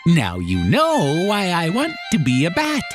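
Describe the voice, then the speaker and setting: A narrator voicing Percival's part, an eager, sneaky, candy-loving cat in a children's storybook, earnest and excitable. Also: mid-range voice, measured pace. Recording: clear music bed behind.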